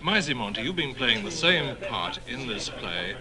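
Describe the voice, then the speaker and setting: A man's voice: medium pitch, big pitch swings, normal speed. A man with an English accent asking short, orderly questions as a broadcast interviewer. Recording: murmuring crowd behind interview.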